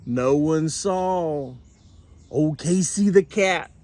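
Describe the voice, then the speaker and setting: An older man reading a children's storybook aloud with expressive, deliberate phrasing. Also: fairly high pitch. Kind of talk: animated children's storybook narration.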